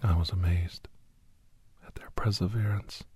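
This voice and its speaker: A man playing a weary, wry doctor telling his adventures in a hushed, deadpan ASMR style with a husky, breathy half-whisper. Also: low-pitched, unhurried, full character voice. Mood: somber, reflective. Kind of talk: ASMR scripted storytelling. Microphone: close, dry microphone.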